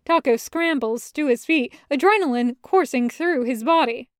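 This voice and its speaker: A woman narrates a story from a script in an expressive reading style. Her voice sits high, and the recording is dry and close with little room sound. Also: moderate pace, no echo. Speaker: podfic reader narrating fan fiction.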